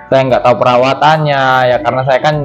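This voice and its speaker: A man from Jambi, a guest on an interview, talking in a halting way with many "eh" fillers and repeated respectful address to the host. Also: mid-range voice, steady tone, faint music behind. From Jambi, Indonesia. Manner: halting, fills with "eh" and keeps addressing the host as "Bang". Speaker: pigeon racing jockey, guest. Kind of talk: casual interview chat. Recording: dull, lo-fi sound.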